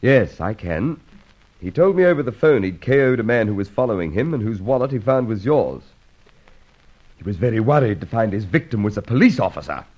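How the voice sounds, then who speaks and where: An actor playing an authoritative, easily exasperated police inspector in an old radio serial, brisk and used to giving orders. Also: male voice, on the low side, very animated. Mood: dry, exasperated. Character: gruff, commanding male police inspector, exasperated by his quarry. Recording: dull, lo-fi old radio recording.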